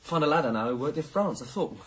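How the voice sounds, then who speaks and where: An actor playing a cocky, evasive small-time criminal in a sardonic, bluffing manner in a naturalistic radio drama. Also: male voice, fairly high pitch, animated, quick pace, a bit bright, a little hiss or hum. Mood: reassuring, evasive.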